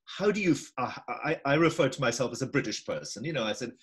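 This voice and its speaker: A man's voice: medium pitch, lively intonation, fast, a bit bright. An older man with a Scottish accent interviewing a guest over a video call from home, musing and repeating himself as he thinks aloud. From Scotland. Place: home room indoors.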